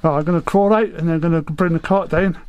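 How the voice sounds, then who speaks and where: A man's voice: fairly high pitch, steady tone, quick pace, darkish tone. A man chatting casually as a vlogger on a visit, recorded on location. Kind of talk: casual chat. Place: small underground coal mine tunnel. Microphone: handheld camera mic. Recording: noisy on-location camera audio.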